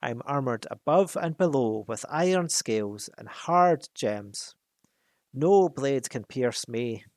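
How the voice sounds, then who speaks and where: Give me a man doing a boastful, wicked old dragon from a storybook, booming and slow, in a dry room. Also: lively intonation, darkish tone, no echo. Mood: snappish. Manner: gloating, booming, slow menacing delivery. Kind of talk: storybook reading.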